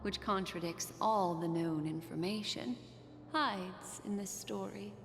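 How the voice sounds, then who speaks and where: An actress playing an enigmatic, composed woman guide in a video game, speaking in a measured, deliberate and coy way. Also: medium pitch, a little hiss or hum, natural voice. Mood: mysterious, composed. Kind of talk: dramatic video game narration. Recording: long reverb, music behind.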